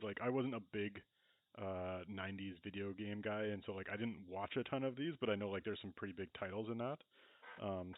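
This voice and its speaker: A man's voice: fairly low pitch, no echo. A man hosting a casual podcast discussion in a conversational, hedging manner. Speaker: podcast host. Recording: muffled narrow-band phone-like audio.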